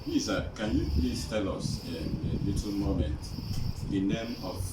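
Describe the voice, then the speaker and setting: A man works as an interviewer, asking questions in a polite, halting way. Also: fairly low pitch. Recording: very noisy, roomy, distant microphone.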